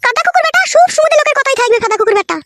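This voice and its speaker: A woman voicing a quarrelsome, sharp-tongued village wife in a comedy cartoon, scolding coarsely in a squeaky, shrill voice. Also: animated, very fast, dry recording, clean studio sound, heavily acted. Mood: angry scolding.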